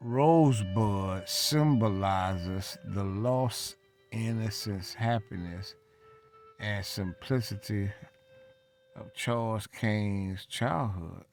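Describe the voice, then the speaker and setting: A man reads scripture at an extremely unhurried pace and adds his own commentary. His voice sits slightly low. He breaks sentences into short, deliberate pieces with long gaps between them. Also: a little mellow, soft background music. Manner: deliberate, halting phrasing with long pauses. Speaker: religious reader and commentator. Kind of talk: slow scripture read-along with commentary.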